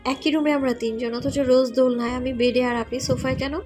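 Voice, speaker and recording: Casting: young wife and mother on the mend, high female voice, woman acting, tender, teasing, romance audio story. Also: little pitch movement, brisk, a little mellow, faint music behind, close to an everyday voice. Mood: teasing, questioning.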